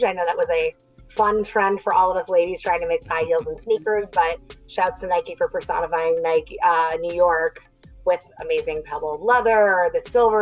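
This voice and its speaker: A woman's voice: on the low side, rough recording. A young woman from New Jersey, a podcast guest chatting casually and upbeat, acting out little quotes as she talks. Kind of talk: casual chat.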